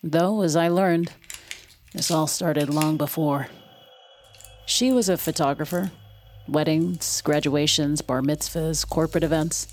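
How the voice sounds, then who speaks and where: An actress playing a grown daughter recalling her childhood in measured, wistful audio drama narration. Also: deep voice, a little mellow, a little hiss or hum. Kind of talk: scripted first-person audio drama narration.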